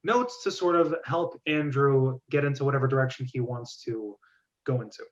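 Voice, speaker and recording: A man hosting a group discussion, giving feedback in a wordy, measured, organized way. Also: mid-range voice, dull audio. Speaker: host of a script reading club.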